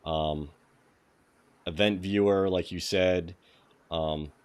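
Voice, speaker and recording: A man's voice: low-pitched, steady tone, slow pace, clean tone. A man with a New England accent hosting a casual livestreamed tech tutorial, reading quiz questions aloud and greeting viewers by name. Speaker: host of a cybersecurity lab walkthrough.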